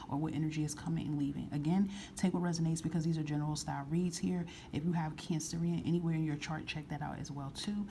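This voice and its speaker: Low, gravelly soft-spoken woman. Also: background noise. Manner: soft-spoken, frank, repeats phrases. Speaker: tarot reader. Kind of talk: casual tarot reading to camera.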